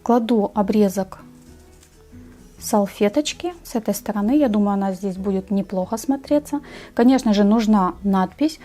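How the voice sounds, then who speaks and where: A woman narrating a step-by-step craft tutorial in a measured, explanatory way. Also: mid-range voice, warm tone, soft background music.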